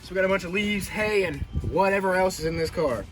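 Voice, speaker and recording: The American guy with the high voice in a homemade car-project video, chatting casually to viewers with music playing clearly behind him. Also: fast, fairly bright tone, low-quality recording. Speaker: vlog host.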